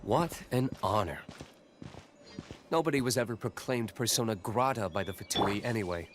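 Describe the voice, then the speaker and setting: A man's voice: on the low side, moderate pace, noisy background, lightly acted. An actor playing an aloof, dry-witted young nobleman in a video game, with a cool and scornful manner.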